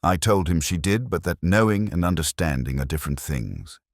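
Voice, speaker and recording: Man playing a very deep, brooding detective narrator. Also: animated, no echo, studio quality. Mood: sombre, reflective. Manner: measured, solemn, introspective. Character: weary, melancholy, brooding male English police detective. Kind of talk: slow literary first-person detective narration, radio drama.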